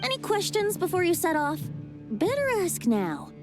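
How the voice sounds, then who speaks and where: A woman voicing a cheerful, playful young male bard who speaks in a light, lilting way and sometimes chants verses slowly. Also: very high-pitched, huge pitch swings, heavily acted. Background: game music.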